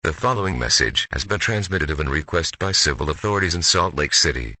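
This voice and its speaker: A man's voice: a bass voice, lively intonation, a little fast, bright, forceful tone, slightly rough, dry recording. A man's synthetic text-to-speech voice reading a scripted emergency broadcast announcement in a formal manner as an alert announcer.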